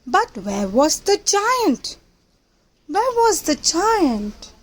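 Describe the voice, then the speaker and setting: A woman reading a picture book aloud to young children, with simple deliberate phrasing and questions to the listeners. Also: very high-pitched, very animated. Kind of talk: children's read-aloud storytelling.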